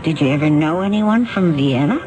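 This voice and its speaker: An actress playing an inquisitive, teasing pianist who prods with playful questions in a 1970s radio drama. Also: female voice, deep voice, animated, poor audio. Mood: prodding. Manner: playful, quick with prodding questions. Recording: vintage radio drama with music behind.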